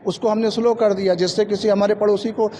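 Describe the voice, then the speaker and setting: A man's voice: high voice, level tone, fast talker, dark tone, slight background noise. A man giving plain-spoken, explanatory interview answers and repeating his points. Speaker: interviewee, local resident.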